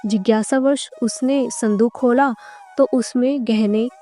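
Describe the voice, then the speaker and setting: Female narrator for cartoon fables: warm dark tone, slightly high register, scripted storytelling, faint music bed. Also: steady tone, takes it slowly.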